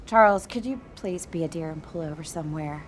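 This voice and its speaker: A woman's voice: low voice, brisk, a little hiss or hum, natural voice. An actress playing a sweetly motherly pregnant wife, coaxing and full of endearments, in a naturalistic audio drama. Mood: coaxing, reassuring. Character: sweetly motherly pregnant wife, solicitous toward a teenage girl.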